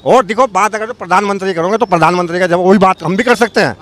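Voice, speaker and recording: A man's voice: high voice, fast talker, a bit bright. A man from the public arguing in a heated street interview, repeating himself and challenging the other person. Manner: argumentative, repetitive, challenging. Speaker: member of the public being interviewed.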